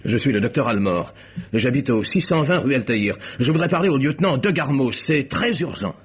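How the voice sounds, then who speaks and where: An actor playing an anxious, indignant doctor, husky and insistent, in a French radio crime drama. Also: male voice, medium pitch, expressive, moderate pace. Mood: worried. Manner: agitated, insistent, formal. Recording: dull, lo-fi old radio recording.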